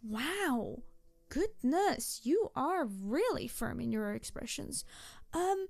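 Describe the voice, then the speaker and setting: A woman playing a mischievous, excitable tanuki trickster spirit in a roleplay audio drama, teasing and flirty and easily huffy, talking straight to the listener. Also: sits high, takes it slowly, vocal fry, no echo, exaggerated voice. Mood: offended, huffy.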